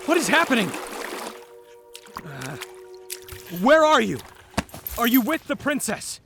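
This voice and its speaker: A man acts an eager young fantasy hero in a radio play. The voice is high and swings widely, slow and halting with uncertainty. Music plays underneath. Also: big pitch swings, creaky, full character voice. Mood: anxious. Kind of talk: fantasy audio drama acting.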